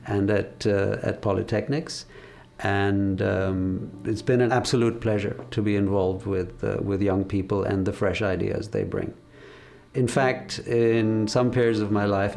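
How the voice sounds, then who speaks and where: A man in his fifties speaking in a measured, reflective way with frequent hesitations, giving a documentary interview about his career as a car designer. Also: on the low side, creaky, a little mellow. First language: English.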